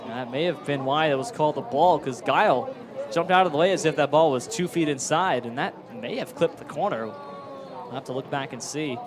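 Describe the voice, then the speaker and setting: Analytical male commentator amid clear crowd noise. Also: on the high side, moderate pace. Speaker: baseball color commentator. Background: ballpark crowd.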